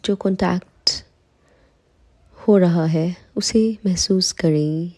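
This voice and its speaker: A woman leading a guided meditation, calm and soothing, giving unhurried instructions with long pauses between phrases. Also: lowish voice. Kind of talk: slow guided meditation in Hindi.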